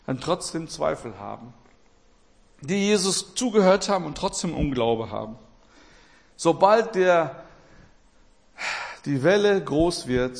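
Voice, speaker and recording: A man from Portugal preaching a sermon with passion, repeating his phrases and swinging between loud and quiet. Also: high voice, vocal fry.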